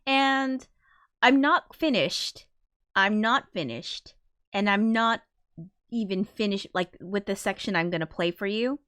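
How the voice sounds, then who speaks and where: A young woman talks casually into a close, dry microphone, a little sick and sniffly. She rambles in a chatty, self-deprecating way, swears now and then, and her voice sits at a middle pitch. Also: unhurried, a bit bright, clear, resonant tone, no room sound. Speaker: livestream host. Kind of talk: casual livestream chat.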